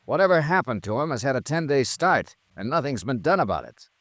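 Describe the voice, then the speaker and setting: An actor playing a tough, wry, stubborn insurance investigator in a classic radio detective drama. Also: male voice, mid-range voice, sing-song, brisk, a bit bright, no echo. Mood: firm, questioning. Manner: hard-boiled, wry, insistent.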